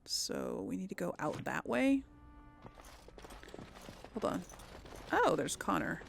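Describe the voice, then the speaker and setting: A woman's voice: on the low side, huge pitch swings, slow, vocal fry, soft background music. A woman hosting a casual let's play, thinking aloud and often trailing off into mutters. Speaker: gaming video host.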